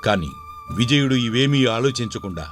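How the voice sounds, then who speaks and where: Male actor as storybook king: formal, authoritative, declamatory, mid-pitched voice over music. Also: lively intonation, normal speed, lightly acted. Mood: explaining. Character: dignified king, questioning and authoritative. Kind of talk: dramatised Telugu folk tale.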